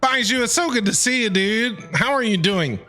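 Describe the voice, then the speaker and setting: Streamer type: man with high, forceful voice, casual and excitable commentary over soft game music. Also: moderate pace. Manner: enthusiastic, talks back to game characters.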